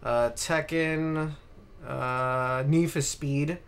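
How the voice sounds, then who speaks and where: A man's voice: on the high side, steady tone, extremely slow, smooth. A man hosting a podcast, chatting casually and ranting with exasperated emphasis, repeated words and casual swearing. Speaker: podcast host. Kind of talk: casual chat, ranting film commentary.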